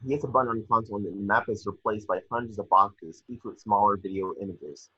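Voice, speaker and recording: A man's voice: on the low side, quick pace, sharp tone, husky. A man narrating scripted action descriptions at an amateur table read, on a slightly dull home recording. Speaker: reader of stage directions.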